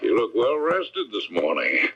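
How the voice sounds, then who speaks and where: An actor with an American accent playing a gentle, reassuring but worried husband in a radio mystery drama. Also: male voice, huge pitch swings, a little slow, full character voice. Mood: tender, reassuring. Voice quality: low, husky. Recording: muffled 1970s radio broadcast.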